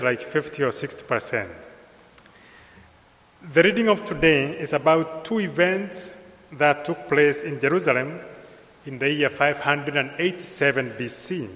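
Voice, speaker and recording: A man with a Kenyan accent giving a homily in a slow, measured way with pauses. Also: fairly high pitch, fairly bright tone. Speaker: priest. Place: large church with long reverb. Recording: reverberant church hall sound.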